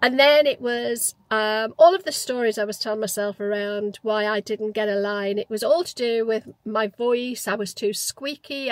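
A Geordie woman in her fifties talks from the driver's seat of a parked car into a clip-on mic. Her voice sits a little high. She tells her story in a chatty, reflective way and often starts a phrase over. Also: rough recording. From North East England. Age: 50s. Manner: chatty, reflective, with frequent restarts. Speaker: vlogger. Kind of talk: casual personal storytelling. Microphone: lapel mic.

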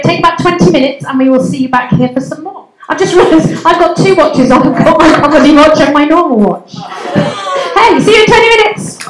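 Bubbly high-voiced English woman emceeing. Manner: bubbly, chatty, teasing the crowd. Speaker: MC of a comedy night. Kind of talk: live emcee banter. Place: community centre hall, live audience. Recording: echoey hall with audible noise.

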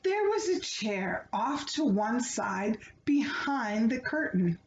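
Slow, lively woman reading a storybook. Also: fairly high pitch. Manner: expressive, deliberate phrasing for young listeners. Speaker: children's book reader. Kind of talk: storybook read-aloud. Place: small living room, indoors. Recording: roomy, dull home recording with background noise.

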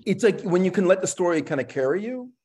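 A middle-aged man, an actor, talking casually as a panel guest on a video call in a reflective, halting way, often repeating words. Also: sits high, animated, darkish tone, breathy edge. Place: room at home, on a video call.

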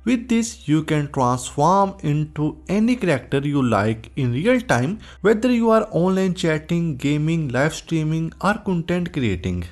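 A man's voice: a little high, very animated, unhurried, hardly any pauses. A man hosting a tech tutorial, reading scripted narration as a voice-over. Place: voice-over recording. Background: background music.

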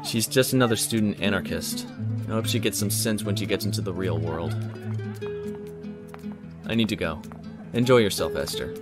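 A man's voice: on the low side, moderate pace, long pauses, loud music behind the voice, natural voice. A man playing a courteous, earnest young man in an audio drama. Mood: relaxed, businesslike.

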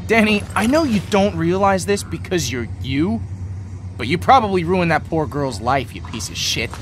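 Cynical con man, animated delivery. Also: sits high, normal speed, slight background noise. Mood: bitter, defiant. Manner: dry, sardonic, profane. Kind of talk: video game dialogue acting.

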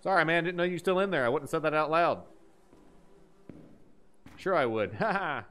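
A man's voice: fairly high pitch, animated, normal speed, a bit bright. A man in his thirties giving crude, playful, exaggerated joking commentary as he streams a horror game.

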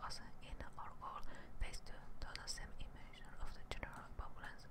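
A young woman whispering breathily and casually into a close microphone, thinking aloud as she hosts ASMR gameplay. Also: a little fast. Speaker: gaming ASMR video host.

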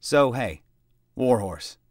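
A brash young male recruit jokes and teases loudly, with a narrator putting him on. His voice comes across dry and close. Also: mid-range voice, expressive, slow pace, dry recording. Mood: teasing. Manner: loud, teasing banter. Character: cocky young male trainee bunkmate, teasing.

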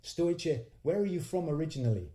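A man's voice: fairly high pitch, lively intonation, normal speed, mellow. A man in his forties, a singer-songwriter hosting and telling stories casually, with hesitant conversational phrasing that restarts often. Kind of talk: casual storytelling between songs. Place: small home studio, indoors. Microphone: studio condenser microphone on a stand. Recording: roomy, echoing livestream sound.

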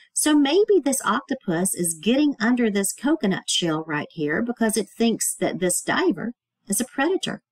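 Female teacher for a kids' read-aloud lesson. Lively pitch swings, husky edge, clear emphasis on key words. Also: dry recording. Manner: explanatory, emphatic on key words, asks the listener questions. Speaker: teacher reading a children's book aloud.

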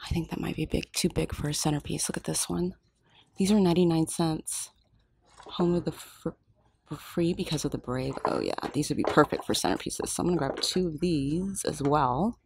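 A woman filming a shop-with-me vlog in a craft store aisle on a handheld phone, excited and gushing, often dropping to a whisper. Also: deep voice, normal speed, creaky, slight background noise. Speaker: shopping vlogger.

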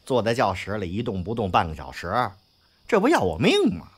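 A man's voice: lowish voice, huge pitch swings, a little fast, breathy edge, full character voice. A man playing a brash, cocky but loyal young gangster in an audio drama, talking with slangy, sweary swagger. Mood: cocky, griping. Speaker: voice actor in a fan audio drama. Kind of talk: Chinese audio drama acting.

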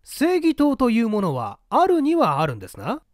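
Man playing pompous older official, blustering, raised lively pitch, old-fashioned speech, dry close sound, storybook drama. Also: normal speed, dry recording, exaggerated voice. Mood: scoffing, incredulous. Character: brisk, pompous older male government bureau chief, bluff father.

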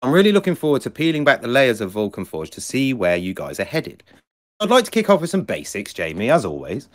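A man's voice: medium pitch, huge pitch swings, a little fast. A man hosting an online interview, asking long friendly questions. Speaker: interview host.

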